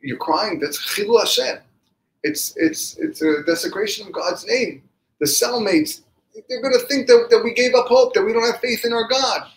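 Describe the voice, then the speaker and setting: A man's voice: fairly high pitch, lively intonation, moderate pace, fairly bright tone, voice pushed up. A man voicing an earnest, fervent rabbi in a religious story, insistent and repeating key phrases. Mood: rebuking, alarmed. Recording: echoey room.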